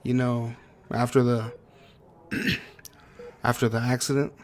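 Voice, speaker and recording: A man acting as a grieving, guilt-ridden partner in an intimate audio roleplay, speaking tenderly and haltingly to a sweetheart. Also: medium pitch, level tone, close to an everyday voice. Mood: tender, wistful. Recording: clearly noisy track with ambience.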